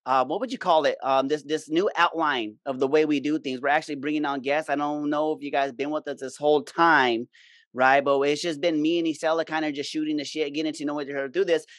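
A man's voice: on the high side, fairly even intonation, a little fast, fairly bright tone, dry recording. A man hosting a casual podcast in an effusive, informal, slangy way. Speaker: podcast host.

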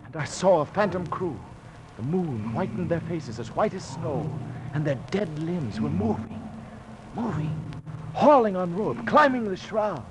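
A man's voice: on the high side, lively intonation, a little slow. An actor playing a haunted, guilt-ridden sailor telling of his doomed voyage in fervent, theatrical 1940s radio drama style. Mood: haunted, awed. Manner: theatrical, fervent, anguished declamation. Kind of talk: 1940s radio drama acting, verse-like narration. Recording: noisy old 1940s radio recording.

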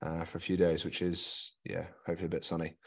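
Low-voiced man, dry podcast co-host. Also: monotone, fast, creaky. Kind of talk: casual podcast chat.

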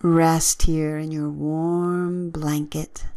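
A woman leading a guided relaxation with gentle, soothing, measured instructions and long pauses. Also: low-pitched, flat delivery.

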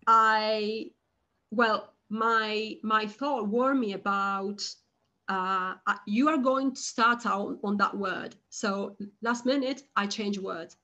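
A woman guest on a podcast, speaking slowly and hesitantly, with frequent restarts and repeated words. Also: medium pitch, steady tone, pushed and bright. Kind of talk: conversational interview.

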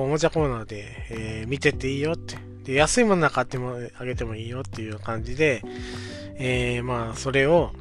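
A man in his forties telling a childhood story on his own podcast, speaking politely and unhurriedly, with many filler sounds. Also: medium pitch. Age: 40s. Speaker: podcast host. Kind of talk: casual storytelling monologue.

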